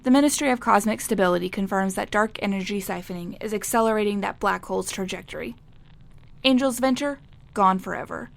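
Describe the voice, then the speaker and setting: A woman's voice: mid-range voice, brisk, gravelly, natural voice. A woman playing a cheeky wartime tech correspondent giving a chatty, punchy, wry scripted radio update. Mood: dramatic, rallying. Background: faint music.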